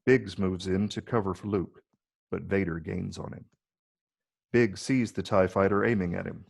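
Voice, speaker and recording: A man with a low, gravelly voice reading screenplay stage directions as the narrator. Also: steady tone, moderate pace.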